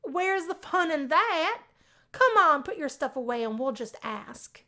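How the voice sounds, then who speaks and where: A woman's voice: sits high, sing-song, a little slow, bright, forceful tone, pitched above the performer's own voice, exaggerated voice. A woman voicing a quirky, cheerful young female roommate in a visual novel, talking in a bubbly, playful way.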